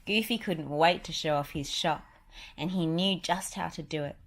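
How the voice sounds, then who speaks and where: Low-pitched woman reading a children's storybook. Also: moderate pace. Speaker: narrator reading a children's picture book aloud. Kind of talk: storybook read-aloud.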